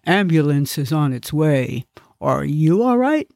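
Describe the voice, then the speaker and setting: Give this a tall policeman acted by a woman, slow and steady in delivery. He sounds official yet solicitous, as in a comic audiobook. The recording is dry. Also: low-pitched, vocal fry, dry recording, heavily acted. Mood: concerned. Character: deep-voiced, tall, concerned male police officer. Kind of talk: comic audiobook character acting.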